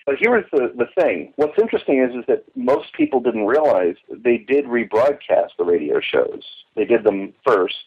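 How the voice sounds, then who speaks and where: A man's voice: medium pitch, big pitch swings, normal speed, creaky, few pauses, husky. A man speaking as an interview guest, enthusiastic and emphatic, recollecting conversationally and wandering off into asides. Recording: muffled, phone-like lo-fi line.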